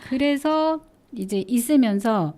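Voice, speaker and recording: A woman's voice: fairly high pitch, animated, slow pace, dark tone. A woman hosting a casual local radio talk show, chatty and teasing, often laughing with her guest.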